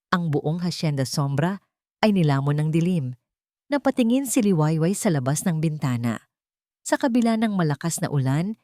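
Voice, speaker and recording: A woman's voice: sits low, warm tone, no echo, studio quality. A woman with a Filipino accent reading a scripted dramatic story as its narrator.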